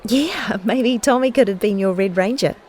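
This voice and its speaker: A woman's voice: medium pitch, moderate pace, a little mellow, slight background noise, natural voice. An actress playing an eager, friendly teenage female superhero in an audio drama. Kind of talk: animated audio drama acting.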